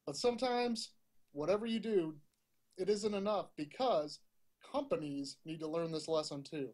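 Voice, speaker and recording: High-voiced man with punchy tech opinions. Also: animated, moderate pace, a little mellow. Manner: opinionated, punchy delivery with rhetorical questions. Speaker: podcast co-host. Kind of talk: casual tech news chat.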